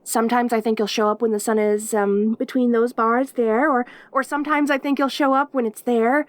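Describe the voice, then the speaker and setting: A woman's voice: on the high side, steady tone, moderate pace, dry recording, close to an everyday voice. A woman voicing a perky, lonely caged demon girl in an intimate audio roleplay, with an eager, rambling, stammering manner. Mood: anxious, rambling. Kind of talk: audio roleplay acting, addressing the listener intimately.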